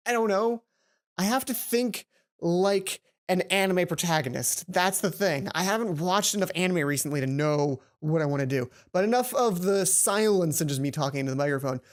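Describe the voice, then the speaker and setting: A woman's voice: fairly low pitch, expressive, normal speed. A woman hosting a let's play, chatting casually and playfully with some self-deprecation. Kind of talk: casual gaming commentary mixed with reading dialogue aloud. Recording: music playing behind the voice. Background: game music.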